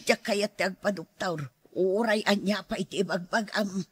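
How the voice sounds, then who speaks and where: Husky, stubborn old mother, acted by a woman. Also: mid-range voice, normal speed, dry recording, close to an everyday voice. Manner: plaintive, obstinate. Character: stubborn, complaining, superstitious ailing old mother. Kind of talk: radio drama acting.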